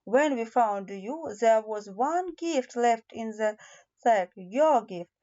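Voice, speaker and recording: Woman playing kindly father animal from a storybook, encouraging, high soft voice, slightly lo-fi recording. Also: animated, takes it slowly.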